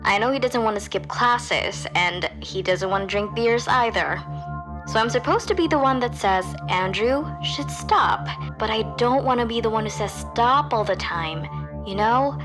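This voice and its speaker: A young woman playing a nervous high school girl who tells her story in a casual, hesitant way, as a confessional audio drama monologue. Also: expressive, moderate pace, music in the background, close to an everyday voice. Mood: resigned, reluctant.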